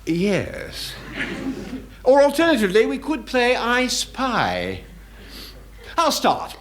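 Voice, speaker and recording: A man's voice: very high-pitched, wildly swooping pitch, slow, background noise, heavily acted. A man playing a suave but silly naval officer in a radio sitcom, polite, wordy and mock-dramatic. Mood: breezy. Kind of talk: 1960s radio sitcom acting.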